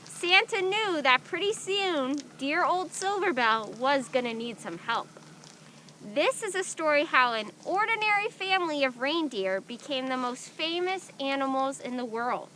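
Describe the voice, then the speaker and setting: A woman's voice: high voice, lively intonation, measured pace, pushed and bright, low-quality recording. A woman hosting a children's storytime, presenting and reading aloud expressively as she addresses young listeners directly. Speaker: storytime host. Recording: background noise.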